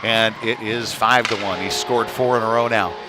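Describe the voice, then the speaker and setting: A man giving halting, conversational live play-by-play of a youth hockey game in a folksy manner. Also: on the low side, steady tone, loud music behind the voice. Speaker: play-by-play commentator. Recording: noisy live rink stream.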